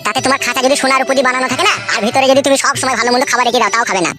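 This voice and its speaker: A woman's voice: sits high, fairly even intonation, very fast, over music, lightly acted. A woman voicing a blunt, cynical male friend who preaches and teases in comic cartoon dialogue. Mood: mocking, preachy. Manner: rambling, preachy, teasing.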